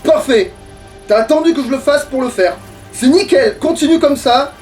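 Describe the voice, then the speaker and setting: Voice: high-pitched, moderate pace, darkish tone, faint music behind. A gamer giving casual, sweary and grumbling commentary during a let's play. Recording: distant mic with background noise.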